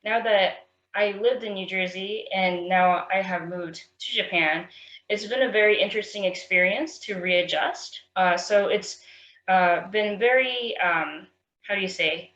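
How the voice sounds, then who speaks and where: A woman's voice: medium pitch, a little slow, a bit bright, slight background noise. Woman, hesitant, many fillers, roomy sound, muffled lo-fi audio. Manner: hesitant, full of fillers, searching for words. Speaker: panelist. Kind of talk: personal storytelling on a panel. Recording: roomy and dull, lo-fi.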